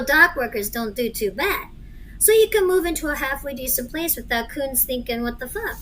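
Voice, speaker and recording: A woman's voice: on the high side, a bit bright. A woman playing a brash, crude, cocksure gangster, the boss's grown-up child, in an amateur audio drama.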